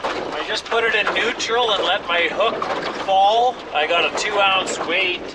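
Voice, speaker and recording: A man's voice: high voice, measured pace. A middle-aged man talking to camera from a small boat on a lake as he fishes, chatty and matter-of-fact. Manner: chatty, talks to camera while working the rod. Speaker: fishing vlogger. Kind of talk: casual narration while fishing. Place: small open boat on a windy mountain lake. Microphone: action camera mounted on the boat. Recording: distant camera mic with loud wind and water. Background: wind and boat noise.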